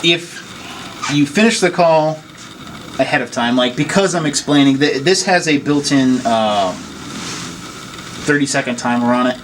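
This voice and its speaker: A man's voice: medium pitch, a little slow, pauses often. Man explaining equipment over room noise. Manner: plain-spoken, explanatory, step-by-step. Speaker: technician giving staff training. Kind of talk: informal hands-on equipment demonstration. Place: indoors, at an equipment station. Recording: noisy room, clear background noise.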